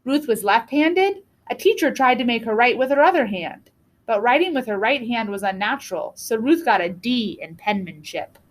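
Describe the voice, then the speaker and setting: A woman reading a children's book aloud as a storyteller, with deliberate, expressive phrasing. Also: fairly high pitch. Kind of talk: read-aloud storybook narration.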